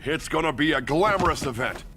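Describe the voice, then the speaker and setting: A man voicing a bossy, self-satisfied newspaper editor in an animated video game. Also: fairly high pitch, brisk, over music. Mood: cajoling.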